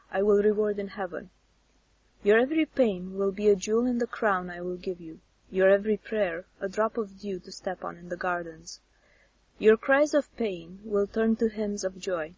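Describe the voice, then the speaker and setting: A woman voicing a hushed, breathy male god. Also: mid-range voice, dry recording. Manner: slow, solemn decrees. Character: commanding male god, calm and possessive. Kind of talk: audiobook character reading. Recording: dull lo-fi recording.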